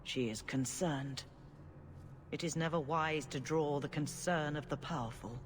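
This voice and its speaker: A woman playing a solemn, cautious senior churchwoman in a fantasy video game, with formal, measured delivery. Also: low voice, a little hiss or hum.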